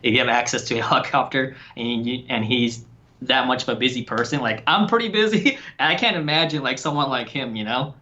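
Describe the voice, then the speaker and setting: A man's voice: medium pitch, brisk, sharp tone, dull audio. A man born in Thailand, co-hosting a podcast and chatting casually, rambling with lots of fillers and restarts. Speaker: podcast co-host. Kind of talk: casual chat.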